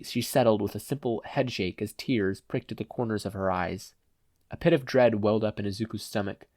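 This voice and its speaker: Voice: mid-range voice, darkish tone, no room sound. A narrator reading fan fiction as a scripted audiobook.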